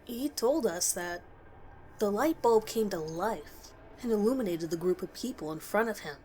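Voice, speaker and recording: A woman's voice: medium pitch, animated, normal speed, darkish tone, a little hiss or hum, low-quality recording. A woman reading a scripted horror story as its narrator.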